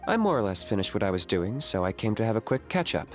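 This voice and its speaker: A man playing a cool, aloof young hunter in a video game, terse and deadpan. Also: on the low side, fast talker, soft background music. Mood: dry, detached.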